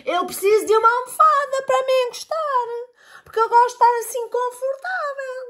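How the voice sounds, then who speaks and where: A woman's voice: very high-pitched, a little fast, fairly bright tone, pitched above the performer's own voice. A woman voicing a lazy, comfort-loving cat in a children's story, asking for a cushion. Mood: smug. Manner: purring, self-satisfied.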